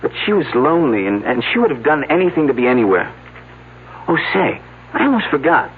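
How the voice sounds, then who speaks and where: Earnest, lively young man played by an actor. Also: medium pitch, fairly quick, lightly acted. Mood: earnest, recounting. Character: earnest, uneasy young man on an archaeological dig. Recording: narrow, slightly noisy phone-like old broadcast audio.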